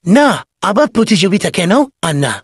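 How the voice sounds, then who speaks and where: A man's voice: sits high, sing-song, normal speed, husky, no echo, studio quality, full character voice. A man voicing an anxious, reluctant tortoise in a children's cartoon. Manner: worried, hesitant.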